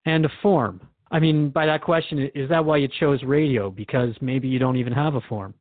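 A man's voice: mid-range voice, fairly even intonation, a little fast, no echo, lightly acted. A man from Canada playing a skeptical, slightly flustered radio host in a drama, hesitant and conversational as he questions his guest. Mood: curious, probing. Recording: thin phone-like band-limited audio.

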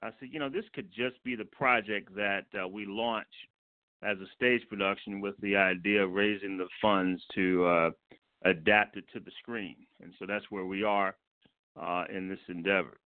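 An American man from Washington, D.C., a guest director giving measured, polite, somewhat hesitant interview answers. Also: lowish voice, fairly even intonation, dry recording. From Washington, D.C., United States. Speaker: guest film and stage director. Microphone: telephone. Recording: tinny narrow phone line.

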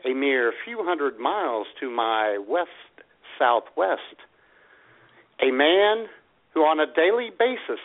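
An American man co-hosting a radio show with casual, irreverent comedic banter and frequent exclamations. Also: on the high side. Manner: irreverent, mildly profane, exclamatory. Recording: tinny band-limited radio sound.

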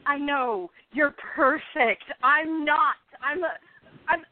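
A woman's voice: high-pitched, takes it slowly, a little hiss or hum. A woman acting the part of a depressed, envious, defensive actress of almost thirty in a radio play. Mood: angry, bitter. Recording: thin phone-like audio.

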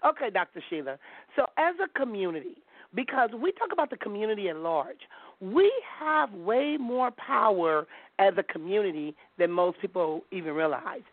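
A woman hosts a call-in show over a thin, tinny phone line, her voice sitting a little high and swinging widely in pitch. She is blunt and outspoken and laughs easily. The sound is dry and close. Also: animated, normal speed, dry recording.

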